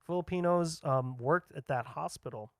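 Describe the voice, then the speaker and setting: A man's voice: a little high, moderate pace, no room sound, clean studio sound. A man in a casual podcast chat, rambling along with lots of fillers and restarts. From United States.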